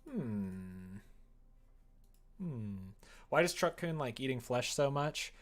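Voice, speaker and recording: A man with an average-pitched voice talks casually on a livestream as he works. He thinks out loud, rambling and often hesitating as he finds his way through a thought. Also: very animated, slow. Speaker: livestreamer making a visual novel. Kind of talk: casual livestream chat while working. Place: indoors at home.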